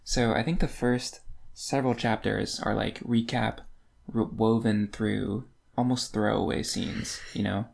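A man's voice: on the low side, steady tone, measured pace, gravelly, darkish tone, breathy edge. A man in his twenties co-hosting a podcast in casual conversation about books. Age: 20s. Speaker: podcast co-host. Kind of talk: casual book discussion chat.

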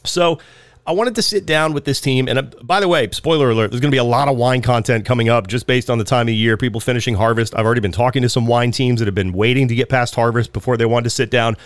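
A man hosting a podcast, a little raspy from a head cold, talking in a chatty, loose way with frequent ums. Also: mid-range voice, quick pace, dry recording. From Southern California. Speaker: podcast host. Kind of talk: casual podcast intro and ad reads.

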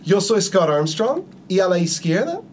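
A man hosting a conversational podcast interview, speaking haltingly and often repeating his words. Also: high-pitched, big pitch swings, slight background noise.

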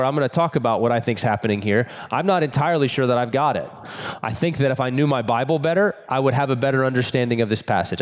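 A man with a cold, hoarse, preaching a sermon in a church in a candid, conversational way. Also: medium pitch. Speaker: pastor. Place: church sanctuary. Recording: long reverb of a church hall.

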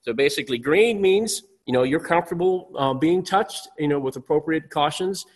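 A man's voice: a little high, lively intonation, normal speed, breathy edge. A man, a pastor, hosting a church panel from a stage, speaking loosely with many fillers and restarts. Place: church stage, far from listeners. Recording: long hall reverb.

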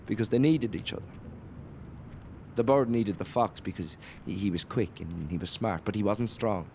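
An actor playing a drunk, grieving son who tells a halting fable that breaks into rage. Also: male voice, lowish voice, a little mellow, slight background noise, lightly acted. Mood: wistful. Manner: halting, rambling, then erupting in anger.

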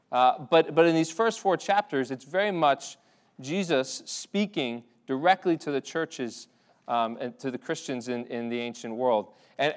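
A man preaching a sermon to his congregation in a church, talking in a conversational way with many restarts and fillers. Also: mid-range voice. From Ireland. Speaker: pastor. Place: church sanctuary.

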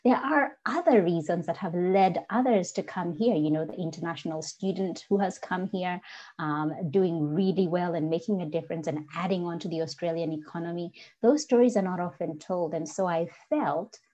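A woman's voice: lowish voice, moderate pace, dark tone, lo-fi sound. A woman in her forties with a Kenyan accent, a guest telling her story in an earnest, reflective way on a podcast interview. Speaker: guest, diversity coach.

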